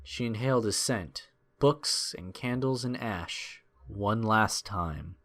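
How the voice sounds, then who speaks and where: A man narrating a scripted adventure story in a measured, expressive, dramatic storytelling style. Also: lowish voice.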